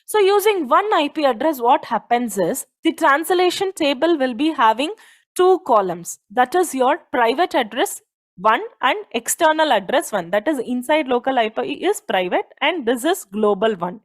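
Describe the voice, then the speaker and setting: Indian-accented female lecturer, high voice, didactic delivery that repeats and stresses key points. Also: sing-song, normal speed. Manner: didactic, poses questions then answers them, repeats key points. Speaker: teacher. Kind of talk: explanatory technical lecture.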